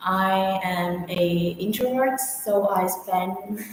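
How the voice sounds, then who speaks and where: A woman answering practice speaking-test questions in halting sentences with many fillers. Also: mid-range voice. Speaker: test candidate. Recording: echoey room with long reverb, faint noise.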